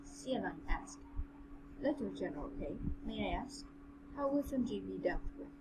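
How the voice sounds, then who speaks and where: A woman's voice: mid-range voice, expressive, moderate pace. Woman chatting hesitantly over noticeable noise. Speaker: podcast co-host reading a novel aloud. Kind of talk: casual chat and amateur read-aloud with commentary.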